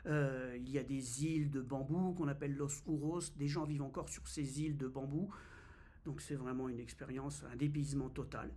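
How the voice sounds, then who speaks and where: A man's voice: medium pitch, fairly even intonation, normal speed, mellow. A man in his fifties from France, vlogging in a casual, hesitant way with many fillers and restarts. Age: 50s. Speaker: travel vlogger. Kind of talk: casual first-person vlog.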